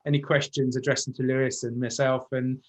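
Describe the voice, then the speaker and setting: A man's voice: medium pitch, little pitch movement, brisk. A man informally hosting an online class in an effusive, chatty way. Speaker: host of music masterclass.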